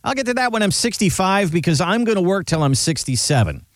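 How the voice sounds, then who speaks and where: Upbeat guy cohosting a money radio show, kinda high voice that bounces all over, dry studio sound. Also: quick pace, no room sound. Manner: upbeat, animated, leading questions. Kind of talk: conversational radio finance talk.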